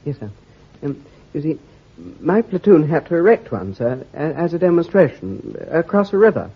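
A man's voice: on the high side, sing-song, moderate pace, gravelly, slightly rough, full character voice. An actor in his twenties playing a bumbling, nervous young army lieutenant who stammers and says sir constantly. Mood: flustered. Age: 20s. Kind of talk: radio drama acting. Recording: dull lo-fi radio recording.

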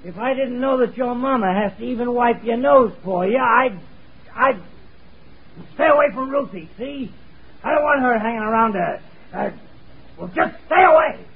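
Actor doing drunken bully father, high gravelly voice, belligerent, lo-fi radio drama. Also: animated, normal speed, full character voice. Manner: belligerent, sneering. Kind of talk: old-time radio drama. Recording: tinny lo-fi radio recording.

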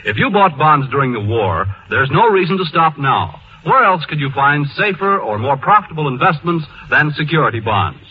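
A man reading a scripted radio announcement in a smooth, persuasive announcer delivery. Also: fairly high pitch, lively intonation, normal speed, few pauses, lo-fi sound. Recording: thin old radio broadcast with faint hiss.

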